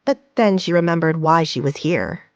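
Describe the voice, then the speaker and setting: A woman's voice: fairly low pitch, moderate pace, dry recording, highs cut off. Woman narrating an audio drama.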